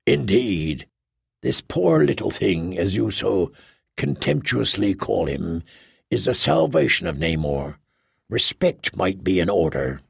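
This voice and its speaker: A ponderous, solemn giant of a man speaks in slow, formal, deadpan sentences, as a male narrator puts him on. His voice sits low and gravelly, and the recording is close and dry. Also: dry recording, full character voice.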